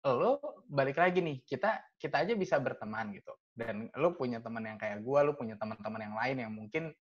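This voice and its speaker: A man's voice: mid-range voice, animated, brisk. A young man hosting a casual podcast chat, laughing often and asking probing questions. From Indonesia. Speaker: podcast host. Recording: dull lo-fi recording.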